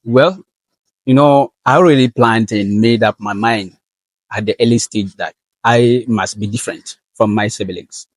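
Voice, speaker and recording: Young ghanaian guy telling his story in an interview, earnest, lots of ums, lowish voice, dry sound. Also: no echo, rough recording. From northern Ghana. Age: young adult. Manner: earnest and measured, with frequent fillers. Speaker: guest, valedictorian student. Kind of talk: interview answers.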